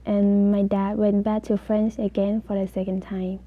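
Young female interview guest from Laos: halting personal storytelling, flat intonation, husky edge. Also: mid-range voice, no room sound, narrow, tinny audio. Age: young adult. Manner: halting, simple phrasing.

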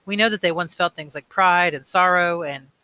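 A man voicing a grave, weary male elder mentor in a storybook, measured and earnest. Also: sits high, sharp tone, smooth. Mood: earnest, reflective.

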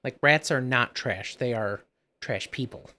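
A man's voice: measured pace, pushed and bright. A man co-hosting a casual podcast chat, joking around and laughing. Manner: jokey, breaks into laughter and squeaky high put-on voices. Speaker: podcast co-host.

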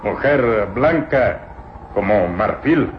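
Slow, servile radio-serial servant. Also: male voice, fairly low pitch, expressive. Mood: dreamy admiration. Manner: halting broken speech, bare infinitives. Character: servile, simple-minded Ethiopian male servant. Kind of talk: old Latin American radio serial acting. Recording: muffled old radio recording, faint noise.

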